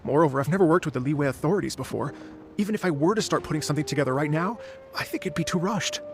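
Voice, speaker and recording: An actor playing a polite, earnest and cautious musician in a video game, speaking in a formal, hesitant way. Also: male voice, a little high, wildly swooping pitch, rapid-fire, faint music behind. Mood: apologetic, hesitant.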